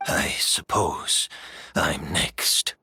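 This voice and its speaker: Game role: gentle weary master, bright tone, slow reflective delivery, formal and resigned. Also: male voice, a bass voice, slight background noise, heavily acted. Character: gentle, world-weary male master mentor, resigned and courteous. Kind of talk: video game voice acting.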